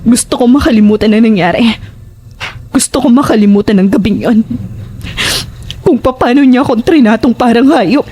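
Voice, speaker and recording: Woman acting a high-voiced, distraught Filipina. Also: moderate pace, mellow, a little hiss or hum, lightly acted. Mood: anguished, despairing. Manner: emotional, insistent, pleading. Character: distraught, fearful, bitter young prostitute who killed in self-defense. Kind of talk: Filipino horror audio drama acting.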